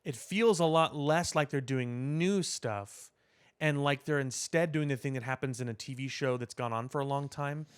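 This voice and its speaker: A man's voice: medium pitch, normal speed, clean tone. A man co-hosting a casual pop culture podcast, explaining his points in long, rambling sentences. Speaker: podcast co-host.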